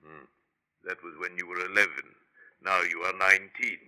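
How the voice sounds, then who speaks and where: Stern period father, low pitch, very sharp tone, formal archaic diction, 1950s radio drama. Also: flat delivery, normal speed, low-quality recording. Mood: stern, disapproving. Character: stern 18th-century English country-squire father. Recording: vintage 1950s radio recording.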